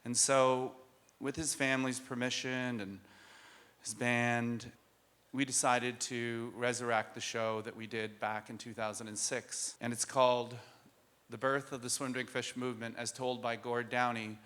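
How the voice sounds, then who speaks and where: A man's voice: mid-range voice, level tone, a little slow, a bit bright. A man giving a live introductory speech at an event. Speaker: event speaker introducing a show. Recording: long hall reverb.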